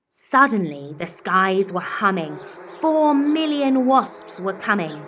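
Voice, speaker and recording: A woman's voice: medium pitch, big pitch swings, fairly bright tone, slight background noise. A woman reading a children's picture book expressively, in a dramatic, rhythmic way that draws out words. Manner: dramatic, rhythmic rhyming delivery with drawn-out words. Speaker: storyteller.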